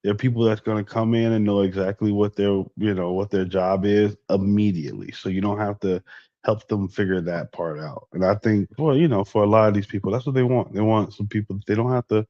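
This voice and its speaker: A man co-hosting a podcast, chatting casually about basketball, animated and full of fillers. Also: fairly low pitch, a little fast, dry recording. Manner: animated, incredulous, many fillers. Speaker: podcast co-host. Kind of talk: casual sports chat. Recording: dull lo-fi dry sound.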